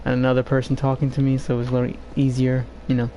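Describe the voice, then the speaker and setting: A soft-spoken man with a low voice, chatting shyly and hesitantly with lots of fillers while streaming a game from home. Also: monotone, quick pace, slight background noise, low-quality recording. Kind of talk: casual gameplay stream chat. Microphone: home desk microphone.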